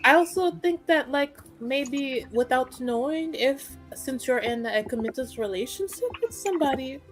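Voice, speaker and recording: A woman roleplaying a kind, proper young noblewoman with a gentle, explaining manner in a tabletop fantasy game. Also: high voice, animated, unhurried, faint music behind. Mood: hesitant, tactful.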